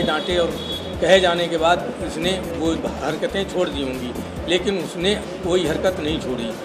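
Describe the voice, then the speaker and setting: An older man giving a statement in an interview, speaking in deliberate, halting phrases. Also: sits high. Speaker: interviewee, father-in-law giving his side. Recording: loud music over the speech. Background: loud music.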